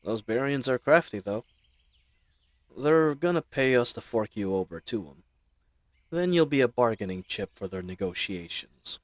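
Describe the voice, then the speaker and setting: A man's voice: medium pitch, lively intonation, moderate pace, dry recording, natural voice. A man playing a chatty, roguish bandit leader in an audio roleplay, with folksy slang and teasing mock courtesy. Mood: chatty, matter-of-fact. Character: roguish, chatty, friendly male bandit leader, mockingly polite. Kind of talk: scripted audio roleplay addressed to the listener.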